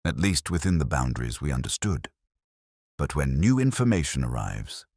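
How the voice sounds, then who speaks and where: A man playing a calm, shrewd police inspector in an audio mystery, speaking mildly and precisely. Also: very deep voice, normal speed, no echo, clean studio sound, full character voice. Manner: quiet, mild, gentle, precise.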